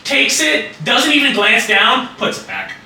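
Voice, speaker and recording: A man running a tabletop game as game master, animated and loose, with lots of fillers and swearing. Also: very high voice, measured pace, slight background noise. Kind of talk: casual tabletop game narration. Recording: echoey room, distant mic.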